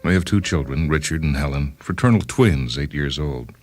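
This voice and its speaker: Very deep, deadpan disgruntled inventor, read by a man. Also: normal speed, faint music behind. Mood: rueful. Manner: deadpan, wry, fussily verbose. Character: disgruntled, self-justifying inventor, a married time traveller. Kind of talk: first-person dramatised short-story reading.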